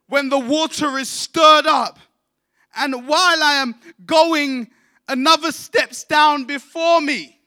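A man's voice: very high voice, bright, forceful tone. A man from England preaching a sermon, addressing the congregation directly in a declamatory way and calling on them to respond. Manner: declamatory, calls on congregation to repeat. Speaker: visiting preacher.

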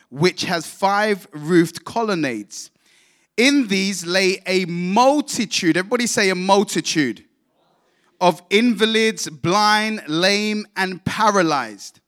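A man's voice: sits high, a bit bright. A man from England preaching a sermon, addressing the congregation directly in a declamatory way and calling on them to respond. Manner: declamatory, calls on congregation to repeat. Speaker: visiting preacher.

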